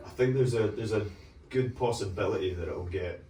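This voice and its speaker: A man's voice: fairly low pitch, a little fast, mellow, far from the mic, slight background noise. A British arm wrestler talking bluntly, swearing and restarting his sentences. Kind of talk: casual chat. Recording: roomy, from off mic.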